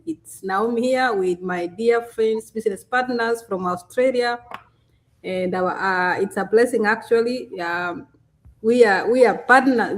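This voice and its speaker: A woman hosts a live stream. Her voice sits at a middling pitch, and she chats along with plenty of ums and restarts. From Kenya. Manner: chatty, many fillers and restarts.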